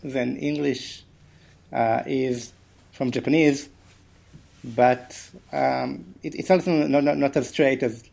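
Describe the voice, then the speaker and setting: A gravelly-voiced man who learned English as a second language after growing up with Hebrew. He talks haltingly in a podcast interview, stumbling and repeating words as he picks them. His pitch sits in an ordinary middle range. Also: steady tone, a little mellow. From Israel. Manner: hesitant, many repeated words and restarts. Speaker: guest, game translator. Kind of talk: podcast interview over a call.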